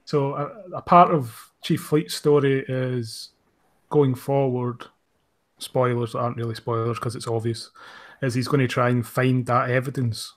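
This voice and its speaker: A man's voice: mid-range voice, fairly even intonation, measured pace. A man explaining things casually as a show creator in a panel discussion. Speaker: audio drama creator on a panel.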